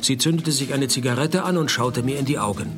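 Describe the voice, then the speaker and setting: A man's voice: mid-range voice, fairly quick, over music, natural voice. An actor playing a dry, sardonic private detective, narrating his case in a radio play. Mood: dry narration. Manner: deadpan, laconic, sardonic.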